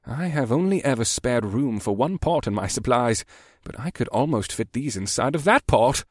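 A man's voice: medium pitch, expressive, moderate pace, no room sound, studio quality, close to an everyday voice. A man voicing a hearty one-eyed warrior general with formal, courteous phrasing in a fantasy audiobook. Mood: delighted, impressed. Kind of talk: audiobook character dialogue.

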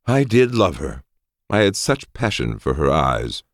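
Audiobook role: jovial, theatrical lord, played by a male narrator, raised pitch, lively swings, exclamatory delivery. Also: normal speed, gravelly, no room sound, exaggerated voice. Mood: wistful, teasing. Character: flamboyant, mock-outraged lord, jovial old friend. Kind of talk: audiobook character voice.

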